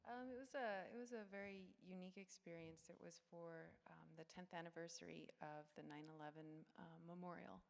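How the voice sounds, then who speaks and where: Low, flat-toned female panelist, creaky, earnest and measured, hall reverb. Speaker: flutist, panel guest. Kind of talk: panel interview answers. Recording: long reverb of a concert hall.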